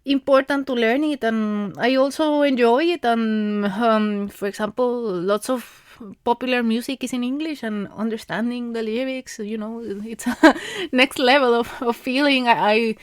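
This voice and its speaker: A woman's voice: a little high, fairly even intonation, measured pace, clear, resonant tone, no room sound, clean studio sound. A woman in her thirties with a Mexican Spanish accent, a native Spanish speaker, talking casually on her podcast, hesitant with many fillers and restarts and frequent light laughs. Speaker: podcast host. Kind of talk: casual monologue.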